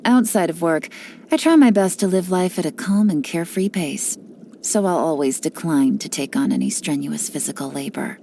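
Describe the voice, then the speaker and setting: An actress playing a cool, cunning female spy and information broker, speaking with confident, sly, measured delivery in game voice lines. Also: deep voice, gravelly, warm tone, a little hiss or hum. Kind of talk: scripted character voice lines.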